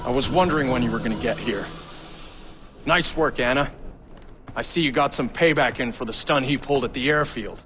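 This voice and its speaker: An actor playing a smug, ruthless rogue agency boss who taunts with drawn-out emphasis in a dramatic video game. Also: male voice, medium pitch, noisy background, natural voice. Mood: smug, menacing.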